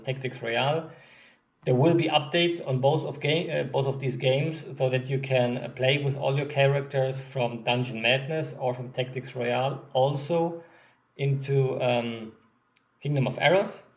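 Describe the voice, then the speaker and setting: A man with a German accent, a game designer giving an unscripted update to backers, pausing often with many ums and restarts. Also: mid-range voice.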